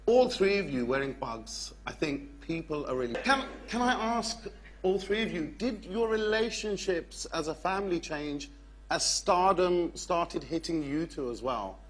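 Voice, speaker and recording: A man with a fairly high-pitched voice hosts a live interview. He asks his questions in a chatty, lively way, with faint noise behind him. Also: measured pace. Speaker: interview host. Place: theatre stage before an audience.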